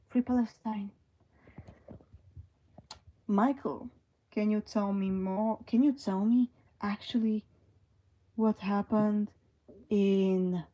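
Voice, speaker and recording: A young woman livestreaming, reading chat messages aloud and answering them hesitantly, with many pauses and fillers. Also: mid-range voice, dark tone, lo-fi sound.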